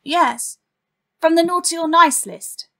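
A woman's voice: very high-pitched, slow pace, vocal fry, a bit bright, exaggerated voice. A woman voicing an obsequious, eager demon minion in a comic audio pantomime, with a fawning, theatrical manner.

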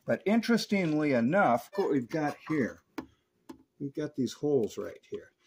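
A man's voice: a little high, very animated, moderate pace, slight background noise. A man giving a hands-on technical equipment review, explaining each detail methodically as a presenter.

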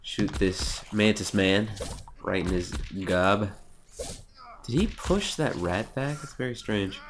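A young man with a cold talks through a video game in a low, nasal, sniffly voice. He is sarcastic and mocks himself, swearing now and then. A faint hiss sits under the recording. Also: expressive, slow. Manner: sarcastic, self-mocking, swears. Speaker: let's play host. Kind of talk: casual gameplay commentary.

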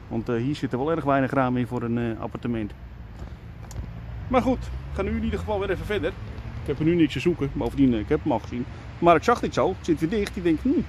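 A man's voice: medium pitch, a little mellow, slight background noise. Chatty man vlogging on the move. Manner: chatty, musing aloud with many fillers. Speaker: urbex vlogger. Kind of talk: casual vlog commentary. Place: outdoors on a scooter, later indoors in a stairwell. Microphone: camera at arm's length.

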